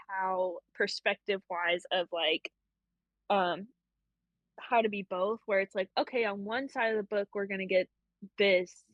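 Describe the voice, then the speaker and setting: A woman's voice: medium pitch, fairly bright tone, no room sound, lo-fi sound. A young woman co-hosting a casual book club chat, rambling and self-deprecating with frequent laughs. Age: young adult.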